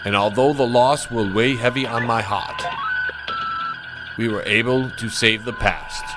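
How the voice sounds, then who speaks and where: A man playing a steady, decisive starship captain in an amateur sci-fi audio drama. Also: medium pitch, measured pace, natural voice, rough recording. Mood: solemn. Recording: loud music bed over dialogue.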